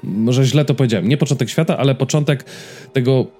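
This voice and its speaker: A man's voice: mid-range voice, brisk, warm tone, faint music behind. A man chatting as a podcast co-host, wordy and wryly playful, often correcting himself as he goes. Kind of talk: casual chat about games and series.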